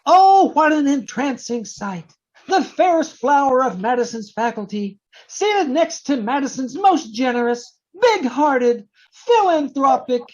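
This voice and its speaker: A squeaky, high-pitched teenage boy who is cheeky and gushing. His delivery is exaggerated and drawn out, swinging widely in pitch, as in vintage radio comedy. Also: very animated, unhurried, highs cut off, heavily acted. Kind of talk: old-time radio comedy acting. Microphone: video call.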